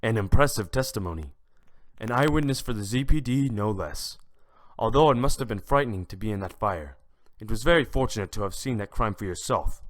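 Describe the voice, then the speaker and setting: A man's voice: lowish voice, animated, brisk, lightly acted. A man playing a mild, formal, easily flustered judge in a courtroom audio drama. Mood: impressed, solemn. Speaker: voice actor in a fan audio drama.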